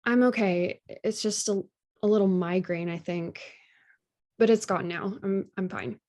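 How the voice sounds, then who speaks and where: A woman's voice: medium pitch, steady tone, measured pace, darkish tone, natural voice. A woman reading a sassy, affectionate girlfriend in a naturalistic drama table read, playful and conversational. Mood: determined. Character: sassy, affectionate girlfriend meeting boyfriend's family.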